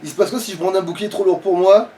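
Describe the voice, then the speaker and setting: High-pitched man, slightly distant from the mic. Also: fast, mellow. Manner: crude, mock-complaining, repeats himself. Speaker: let's play player commentating. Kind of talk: casual gaming banter. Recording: distant mic, faint background noise.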